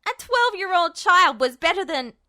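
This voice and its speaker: Woman acting a high-pitched, furious young boy. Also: big pitch swings, normal speed, heavily acted. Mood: shouting, defiant. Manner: shouting, taunting, sweary. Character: furious, defiant, mocking twelve-year-old boy.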